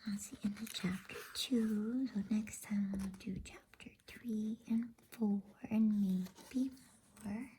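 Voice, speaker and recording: A woman reading a bedtime story in a gentle, unhurried ASMR whisper, soft and breathy. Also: medium pitch, fairly even intonation, a little hiss or hum. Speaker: narrator and channel host. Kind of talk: ASMR bedtime story narration, read softly.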